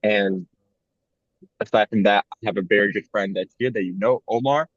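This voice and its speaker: A man's voice: lowish voice, normal speed, no room sound, dull audio. A young man co-hosting a podcast, talking in a casual, rambling and reflective way with frequent "like" fillers. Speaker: podcast co-host.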